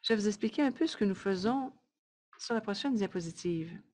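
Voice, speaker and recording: A woman speaks at a medium pitch. She sounds like a live interpreter relaying a webinar presentation. Her delivery is measured and a little halting, arriving in short phrases. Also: lively intonation, a little mellow.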